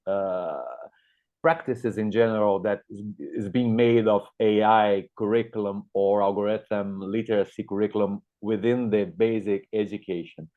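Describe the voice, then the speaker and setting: A middle-aged Brazilian man with a Portuguese-speaker's accent, giving an informal conference talk and hesitantly repeating words as he thinks. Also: fairly low pitch. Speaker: guest speaker, media educator. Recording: thin, phone-like online call audio.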